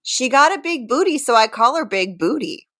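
A woman's voice: high-pitched, lively intonation, a bit bright, no echo, clean studio sound. A woman co-hosting a comedy podcast, giving mock dramatic readings and casual banter in a theatrical, giggle-prone way. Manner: theatrical, deliberate delivery of silly lines, prone to giggling. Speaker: podcast co-host reading song lyrics.

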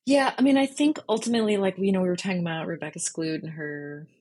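A woman with a slightly husky voice, speaking thoughtfully and informally as an interview guest. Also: fairly low pitch, fast talker, a little mellow. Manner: thoughtful, informal, explains step by step. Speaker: podcast guest, freelance journalist. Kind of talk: reflective interview conversation.

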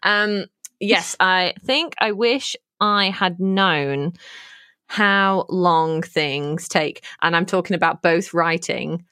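A woman's voice: fairly low pitch, slow pace, bright, forceful tone, smooth, dry recording, studio quality. A woman guest author talking casually in an interview, giggly and self-deprecating, with many restarts.

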